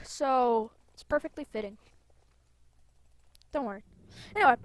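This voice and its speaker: A woman with a high voice hosts a gameplay video. She chats casually and playfully, breaking into exclamations as she plays. The sound is dry, with little room in it. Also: no echo. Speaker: gaming video host. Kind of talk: casual gameplay commentary with read-out game dialogue.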